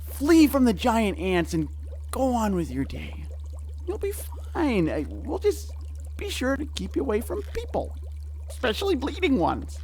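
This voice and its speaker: Man playing a squeaky, stammering, naive young sidekick. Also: wildly swooping pitch, a little slow, darkish tone, a little hiss or hum, heavily acted. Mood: earnest, consoling. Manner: stammering, flustered, earnest. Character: naive, dim, eager young male sidekick, nervously upbeat. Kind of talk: audio drama acting.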